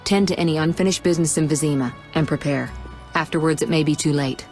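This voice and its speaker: An actress playing a shrewd, poised sorceress in a video game, with a composed, knowing delivery. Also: female voice, low voice, quick pace, a little mellow, faint music behind. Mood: calm, knowing.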